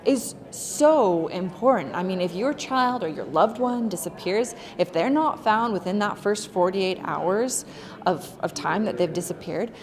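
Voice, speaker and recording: A woman speaks at a public event with a mid-pitched voice and an earnest, emphatic delivery. She repeats words to stress her points. The long reverb of a hall trails her speech. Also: lively intonation, unhurried, a crowd in the background.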